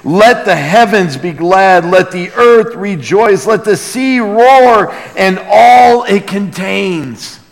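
A man's voice: sits high, animated, takes it slowly, creaky, few pauses. Emphatic male preacher in a reverberant hall. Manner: emphatic, reverent, swinging from loud proclamation to hushed emphasis. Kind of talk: expository sermon with dramatic scripture reading. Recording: long reverberant hall sound.

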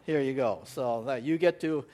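An American missionary giving a church slide presentation, rambling with many fillers and restarts. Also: male voice, medium pitch, moderate pace, a little mellow. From United States.

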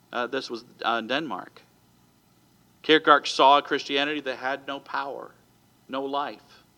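A man's voice: fairly high pitch, bright, forceful tone. A man from America preaching a sermon as pastor in a church, earnest, with some restarts and stumbles, moving into prayer. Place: church sanctuary.

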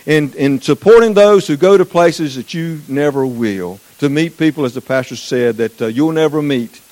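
Folksy Southern preacher addressing a church. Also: male voice, fairly high pitch, moderate pace, a little mellow. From Eastern North Carolina. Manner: folksy, chatty, asks the congregation questions. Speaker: guest missions speaker. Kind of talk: sermon. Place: church sanctuary.

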